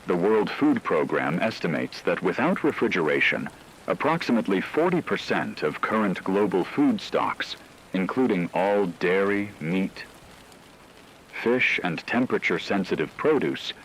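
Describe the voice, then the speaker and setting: A man playing a grave emergency radio announcer, reading scripted broadcast narration in a measured, formal, somber way. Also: low-pitched, gravelly, a bit bright, slight background noise, heavily acted, low-quality recording. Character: grave male emergency radio announcer during global blackout.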